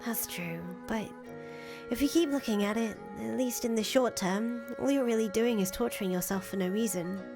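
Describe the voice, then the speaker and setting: Woman acting a higher-voiced, supportive young confidante. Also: moderate pace, natural voice. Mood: reassuring, reasoning. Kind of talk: voiced reading of visual novel dialogue. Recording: music playing under the voice.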